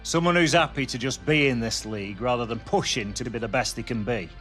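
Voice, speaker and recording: An actor playing a stern older football coach in a game drama, speaking bluntly and with gruff authority. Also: male voice, medium pitch, a little fast, fairly bright tone, lightly acted. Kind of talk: dramatic video game cutscene acting. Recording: music behind the voice.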